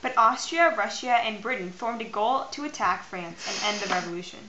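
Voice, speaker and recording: A woman's voice: mid-range voice, expressive, fairly quick. A teenage female student reading scripted history narration. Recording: dull, faintly noisy amateur recording.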